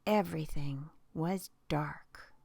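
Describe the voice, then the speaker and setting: A woman reading a Bible story aloud for children, telling it expressively and dropping to a hushed whisper at dramatic moments. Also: deep voice, slow, gravelly, no echo.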